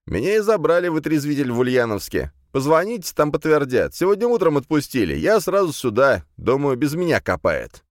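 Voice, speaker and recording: A man voicing an agitated, scheming male bluffer who protests in a loud, whining manner for an audiobook. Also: fairly high pitch, sing-song, a little fast, few pauses, dry recording, clean studio sound, voice pushed up. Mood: indignant, agitated.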